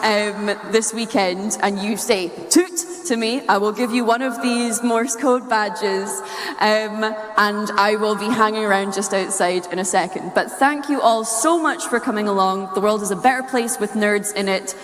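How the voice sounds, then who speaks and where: A woman's voice: medium pitch, moderate pace, soft background music. A woman from Scotland with a Scottish accent gives a playful, humorous, nerdy talk to a live audience. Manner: playful, chuckles at own wordplay. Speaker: speaker giving a talk. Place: large indoor room or hall, live talk. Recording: echoey hall with long reverb.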